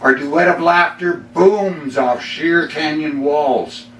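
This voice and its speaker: A middle-aged Canadian man reading his own poems live, with measured, deliberate phrasing and dramatic pauses. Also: a little high, fairly bright tone. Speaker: poet reading own work. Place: bookstore reading before a small audience. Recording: roomy, distant mic, faint noise.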